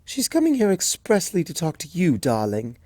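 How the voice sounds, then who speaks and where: A woman voicing a gentle, refined unicorn mare who speaks in a posh, soothing way. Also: lowish voice, huge pitch swings, moderate pace, dry recording, pitched above the performer's own voice. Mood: dry. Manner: posh, soothing, calls others darling.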